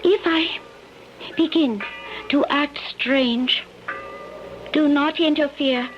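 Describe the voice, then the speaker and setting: An actress playing a devoted, anguished and secretive woman in a radio drama, speaking in deliberate, formal phrases without contractions. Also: high-pitched, faint music behind. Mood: grave, determined. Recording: muffled vintage radio recording, faint noise.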